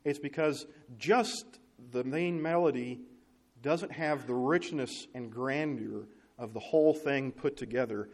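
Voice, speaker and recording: A man's voice: mid-range voice, unhurried, a little mellow. A man preaching a conversational sermon to his congregation, often asking them rhetorical questions.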